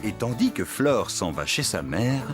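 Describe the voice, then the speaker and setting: A man's voice: lowish voice, sing-song, measured pace, over music. A man playing a friendly storyteller, narrating a farm cartoon for children. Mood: friendly, storytelling.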